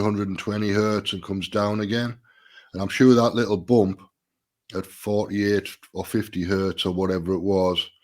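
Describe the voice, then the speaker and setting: A middle-aged man hosting a casual solo live stream, a little nasal and stuffy from a sinus problem, thinking aloud with restarts. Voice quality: slightly nasal, deeper than usual.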